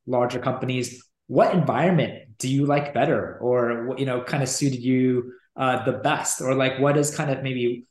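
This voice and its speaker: A man's voice: mid-range voice, fairly even intonation, moderate pace, hardly any pauses, a little mellow. A man hosting a podcast, asking long, friendly questions with many fillers. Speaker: podcast host. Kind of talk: casual interview hosting. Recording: roomy echo.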